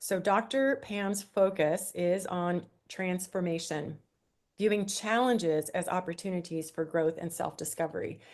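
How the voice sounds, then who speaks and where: A woman's voice: fairly low pitch, a little slow, a little mellow. A woman moderating a panel discussion, introducing speakers in an upbeat way.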